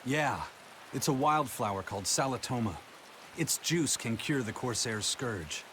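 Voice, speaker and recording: Man playing a steady, commanding pirate officer. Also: mid-range voice, unhurried, noisy background, close to an everyday voice. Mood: grave, urgent. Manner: commanding, matter-of-fact. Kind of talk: video game voice acting.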